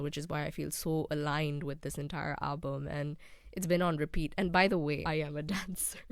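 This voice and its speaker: A young woman hosting a relaxed solo podcast, rambling casually with frequent ums; English is not her first language. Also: sits low, dry recording, studio quality. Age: young adult. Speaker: podcast host.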